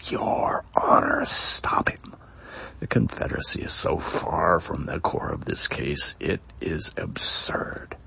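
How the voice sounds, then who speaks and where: Man putting on an indignant, raspy, breathy defense lawyer. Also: a bass voice, takes it slowly, pushed and bright, in a whisper, exaggerated voice. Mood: aggrieved. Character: indignant, obstructive male defense lawyer, endlessly objecting.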